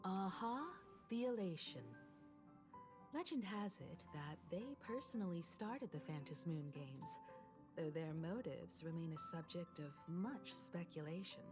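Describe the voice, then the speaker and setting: An actress voicing a composed, knowledgeable woman mentor in a story game, speaking in measured, solemn exposition. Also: lowish voice, expressive. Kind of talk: scripted game story dialogue. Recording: loud background music. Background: game music.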